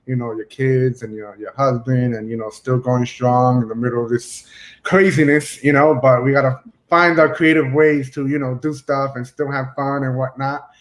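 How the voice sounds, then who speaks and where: A man's voice: mid-range voice, fairly even intonation, normal speed. A man hosting a casual online interview, rambling with lots of fillers. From Dominican family, US. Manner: rambling, many fillers like "you know". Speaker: podcast host.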